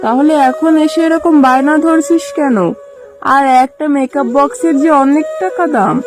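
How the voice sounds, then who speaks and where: Woman playing a high-voiced fussy lady fox. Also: normal speed, over music, close to an everyday voice. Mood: scolding. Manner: scolding, chatty. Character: adult female fox villager, fussy and scolding. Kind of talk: cartoon character acting.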